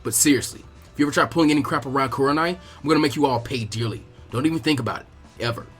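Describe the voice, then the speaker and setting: A man voicing a gruff, teasing adult ninja teacher with a laid-back, cocky, crude way of talking. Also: medium pitch, racing, soft background music, lightly acted. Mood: stern warning.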